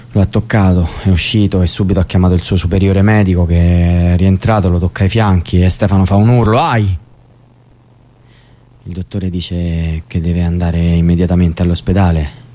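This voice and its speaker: Deep mellow guy a sad cellmate telling what happened, radio drama, soft music under it. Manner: plain testimony, recounting events calmly. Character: grieving male prison cellmate, young adult, earnest.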